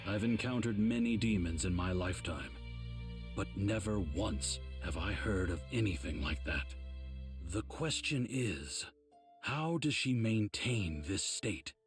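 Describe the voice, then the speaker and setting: An actor playing a grave old mentor of demon slayers, explaining things in a measured, solemn way for a video game. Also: male voice, fairly low pitch, expressive, soft background music. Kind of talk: English game dub, measured explanatory dialogue.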